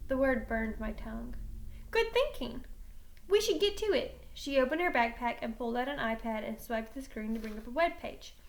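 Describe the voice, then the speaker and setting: A woman reading a children's novel aloud in an animated, dramatic storytelling manner. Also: on the high side, creaky, rough recording. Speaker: teacher reading a book aloud.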